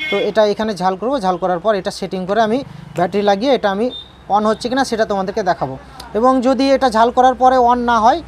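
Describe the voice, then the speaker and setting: Very fast-talking woman, noisy recording. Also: on the high side, a little mellow. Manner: repetitive, checking in with viewers with tag questions. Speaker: repair tutorial presenter. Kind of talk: informal hands-on repair tutorial narration.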